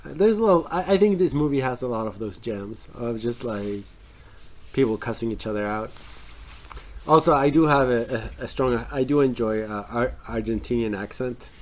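A man's voice: medium pitch, normal speed. Rambling man chatting about a film. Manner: rambling, many fillers and restarts. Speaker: podcast co-host. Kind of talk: casual film-review chat.

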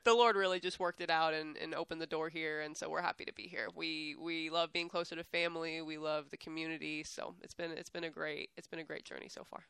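A woman's voice: low-pitched, level tone, a little fast, gravelly, few pauses, fairly bright tone, smooth, no room sound. A woman in her thirties from San Antonio, talking as an interview guest in an earnest, upbeat way, laughing often and repeating words as she goes. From San Antonio, Texas. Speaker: guest, athletics staff director. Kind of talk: casual interview chat.

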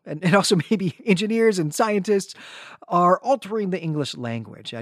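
A man's voice: fairly high pitch, no echo, clean studio sound. A man co-hosting a podcast, recapping and discussing fiction in a conversational, wryly explanatory way. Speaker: podcast co-host.

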